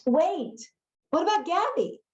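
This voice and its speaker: A woman's voice: fairly high pitch, lively intonation, normal speed, a little mellow, reverberant, dull audio, natural voice. A woman reading the part of a pushy, sneering middle-school newspaper editor boy in a storybook, insistent and sarcastic. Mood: exasperated.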